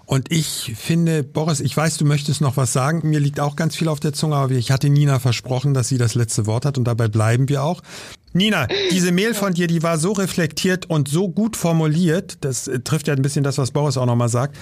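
A man's voice: on the high side, brisk, darkish tone. A man hosting a podcast, speaking in a conversational moderating style. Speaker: podcast host.

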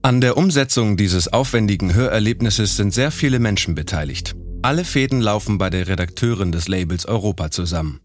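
A man reading scripted documentary narration in a calm, measured way. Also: on the low side, soft background music.

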